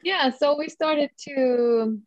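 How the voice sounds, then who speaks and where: A woman's voice: sits high, slow pace, no room sound. Finland Swedish woman on a video call. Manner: chatty, many fillers, frequent laughs. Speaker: singer, guest. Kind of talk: casual video-call interview. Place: home room, indoors. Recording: dull lo-fi video call audio.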